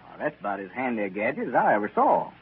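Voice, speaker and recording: A man's voice: medium pitch, wildly swooping pitch, very fast, breathy edge, heavily acted. A man playing a friendly, helpful small-town neighbor, affable and plainspoken, in a 1930s radio comedy serial. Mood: admiring. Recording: scratchy, tinny 1930s radio broadcast, hissy.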